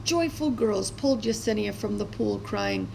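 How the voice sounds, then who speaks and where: Very creaky-voiced woman reading a children's story. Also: mid-range voice, moderate pace, a little mellow, slight background noise, poor audio. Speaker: storybook reader. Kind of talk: expressive children's story read-aloud.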